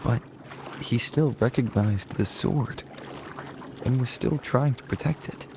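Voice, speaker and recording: An actor playing a composed, resolute young warrior in a video game, speaking in a terse, controlled, solemn way under loud music. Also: male voice, medium pitch, takes it slowly, background noise. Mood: calm, thoughtful. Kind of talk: video game combat lines and dialogue.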